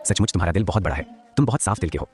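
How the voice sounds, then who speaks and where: Benevolent roaming beggar elder for an animated fable, male actor: lowish voice, solemn, grateful, generous. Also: rapid-fire, faint music behind. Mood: grateful, blessing. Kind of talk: cartoon moral-story dialogue.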